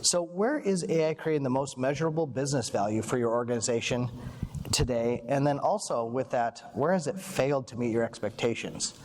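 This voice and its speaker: A man's voice: medium pitch, a little fast, a little mellow. A man moderating a panel discussion in a casual, conversational way. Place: event room before an audience. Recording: reverberant hall, faint background noise.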